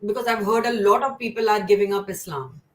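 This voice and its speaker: Interviewer: Indian-accented woman, medium pitch, conversational questioning style. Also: quick pace. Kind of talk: casual interview.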